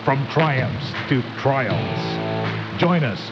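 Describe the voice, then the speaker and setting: A man's voice: mid-range voice, expressive, drawn-out words. A man reading scripted promos as a radio announcer. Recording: dull AM radio reception, loud music. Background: loud music.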